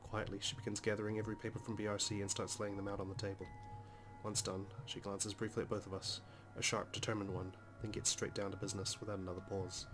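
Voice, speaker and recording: A man reads a game's first-person story aloud. His voice is fairly low and stays within a narrow range, with prominent music behind it. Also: flat delivery, quick pace. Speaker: let's play host reading the game's text. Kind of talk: dramatic reading of first-person story narration. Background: game music.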